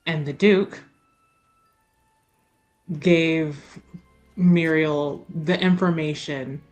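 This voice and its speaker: A woman's voice: deep voice, fairly even intonation, drawn-out words, a little mellow, soft background music. A woman chatting casually and explaining things emphatically as a player in a tabletop roleplaying game.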